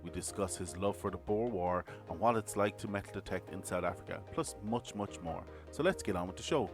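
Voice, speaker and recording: A man with a fairly low voice hosts a casual podcast interview, greeting his guest and firing off questions with friendly energy. Music plays clearly underneath his voice. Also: brisk. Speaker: podcast host.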